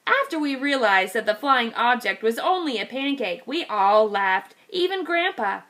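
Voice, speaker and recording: Lively woman reading a picture book to kids. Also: fairly high pitch, normal speed. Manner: lively, expressive reading to young children. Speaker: kindergarten teacher. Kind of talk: read-aloud storytelling for children.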